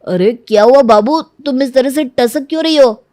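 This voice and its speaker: A woman's voice: sits high, moderate pace, mellow, no echo, clean studio sound, close to an everyday voice. A woman voicing a doting, earnest young fiancé in a cartoon, a coddling mama's boy. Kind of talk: Hindi cartoon story acting.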